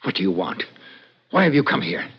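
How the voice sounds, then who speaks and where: An actor playing a nervous, secretive old professor in 1940s radio drama, speaking in a fussy, halting, defensive way. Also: male voice, sits low, very animated, slightly rough, heavily acted. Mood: desperate. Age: older. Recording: muffled old radio broadcast with hiss.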